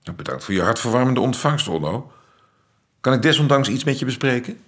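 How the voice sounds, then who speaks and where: A man's voice: mid-range voice, lively intonation, a little fast, a bit bright, a little hiss or hum, lightly acted. An actor in a radio play playing an ironic, worldly male astronomer with a dry wit. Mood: wry. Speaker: Max, astronomer. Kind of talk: radio drama acting.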